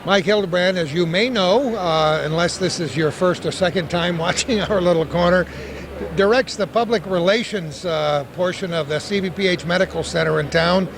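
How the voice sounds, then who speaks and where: A man's voice: high voice, normal speed, vocal fry, noisy background. A man hosting a local TV show, chatting jovially with guests at a business expo and laughing often. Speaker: local TV host. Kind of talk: casual on-location interview. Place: busy indoor business expo.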